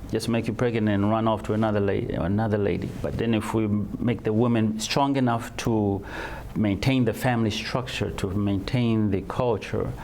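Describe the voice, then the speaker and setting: A man from Tanzania answers an interviewer's questions in a low voice. He talks haltingly, repeating words and restarting sentences as he searches for the right phrasing. A faint noise sits under the recording. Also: a little mellow. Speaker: guest.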